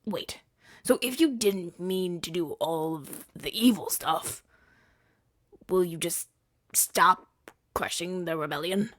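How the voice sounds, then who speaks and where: A man's voice: high voice, slow pace, pauses often, a bit bright, no room sound, heavily acted. Man acting an earnest, defensive rebel hero, dry recording. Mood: incredulous, arguing. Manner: conversational, stammering, exasperated arguing. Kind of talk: ASMR-style audio roleplay acting.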